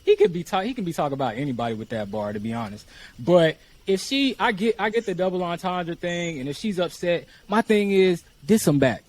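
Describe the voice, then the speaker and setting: A man's voice: sits high, sing-song, a little fast, a little hiss or hum. A man co-hosting a casual podcast, arguing his point by repeating it again and again. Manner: argumentative, hammers points by repeating them. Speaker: podcast co-host. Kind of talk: casual group podcast chat.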